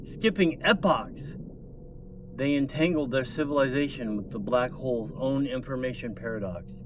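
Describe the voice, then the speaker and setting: A man's voice: medium pitch, noisy background. A man reading dramatic scripted science fiction narration as a storyteller.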